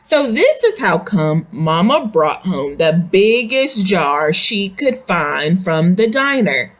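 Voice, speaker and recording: A woman reading a picture book aloud to young children, expressive and explanatory, speaking to them directly. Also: medium pitch, slow pace. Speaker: children's story reader. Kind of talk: animated read-aloud of a picture book with asides to young listeners.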